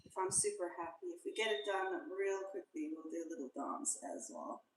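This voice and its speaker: A woman's voice: on the low side, animated, a little fast, echoey room, noisy background. Woman chatting on a livestream. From South Africa. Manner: greets viewers by name, hums little sing-song tunes. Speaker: livestream host. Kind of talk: casual livestream chat.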